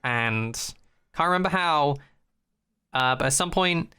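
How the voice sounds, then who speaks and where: A man's voice: on the high side, expressive, unhurried, pushed and bright, clean tone. A man hosting a podcast, chatting in a casual, rambling comic recap style with an irreverent, sweary manner. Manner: irreverent, swearing, acts out quotes in put-on voices. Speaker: podcast host.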